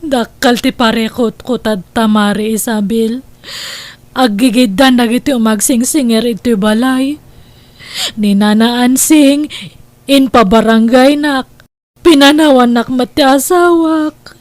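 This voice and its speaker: Radio comedy drama role: despairing indebted mother, played by a woman, high-pitched, slow and woeful delivery. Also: fairly even intonation, dry recording, lightly acted. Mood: distraught, tearful. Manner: plaintive, weary, slow complaining. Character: despairing debt-ridden abandoned mother, weepy and weary. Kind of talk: radio-style Ilocano comedy drama acting.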